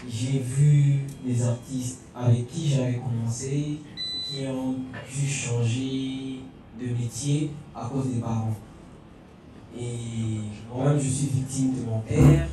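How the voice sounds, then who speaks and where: A man with a Congolese accent speaking as a panel guest in measured, halting remarks. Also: mid-range voice. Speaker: panel speaker, manga artist. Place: echoey room at a public event. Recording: echoey hall, distant mic, music behind.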